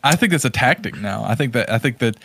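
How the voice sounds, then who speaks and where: A man's voice: mid-range voice, expressive, fast talker, vocal fry, pushed and bright, breathy edge, dry recording. A man chatting casually as a podcast co-host, informal and opinionated. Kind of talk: casual podcast chat about movies.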